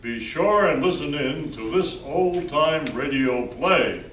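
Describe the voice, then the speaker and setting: A man's voice: fairly high pitch, animated, slow, fairly bright tone. A man hosting an amateur radio play, reading scripted announcements to a live room. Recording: echoey room, distant mic, faint noise.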